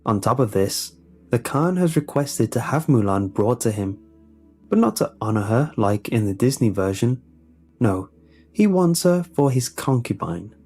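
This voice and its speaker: A male narrator with a dark, relaxed voice of average pitch reads a script in documentary style. His delivery is measured and polished, and music plays behind him. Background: background music.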